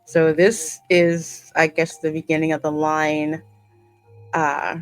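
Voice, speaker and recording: A woman hosting a gaming channel, reading game dialogue aloud in an expressive, theatrical way with casual reactions. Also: deep voice, takes it slowly, no room sound. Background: game music.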